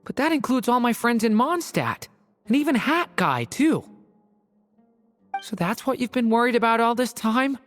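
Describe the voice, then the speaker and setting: A gentle, earnest young dragon boy from an anime game, played by a woman. His high voice moves openly and expressively, with long reverb around it and music behind. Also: animated, moderate pace, close to an everyday voice. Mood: hurt.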